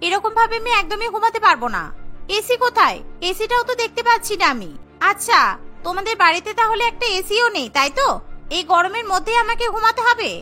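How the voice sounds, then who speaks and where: Very high-pitched spoiled young bride. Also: female voice, lively intonation, brisk, pushed and bright, faint music behind, exaggerated voice. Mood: disbelieving, complaining. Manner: whiny, complaining, demanding. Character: spoiled, indignant young bride, newly married. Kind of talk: Bengali cartoon voice acting.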